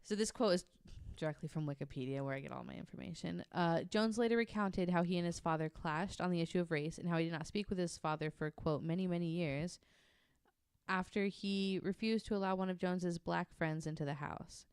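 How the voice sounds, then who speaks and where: Low-voiced woman with a clear, smooth tone. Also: fairly quick, a little mellow, no echo, studio quality. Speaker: podcast host. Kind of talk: casual nonfiction storytelling from notes with banter.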